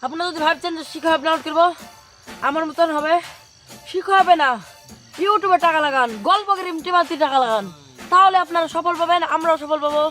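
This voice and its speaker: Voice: very high voice, fast, faint music behind. A performer playing a pushy young villager in an amateur comedy skit, insistent and repetitive. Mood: brash, insistent. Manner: insistent, repetitive, loudly dismissive. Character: pushy young man hawking an app as cure-all.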